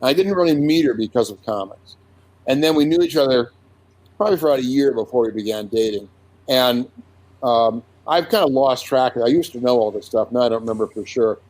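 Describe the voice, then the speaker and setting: An older man in his seventies, a comic artist recalling his early career in a relaxed interview, unhurried with frequent restarts. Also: mid-range voice, rough recording. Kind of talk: casual conversational interview.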